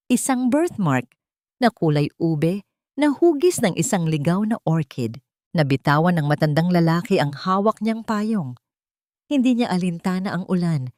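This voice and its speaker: Woman, slightly low voice, dramatic audiobook narration, scripted storytelling, dry close sound. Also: a little mellow, dry recording.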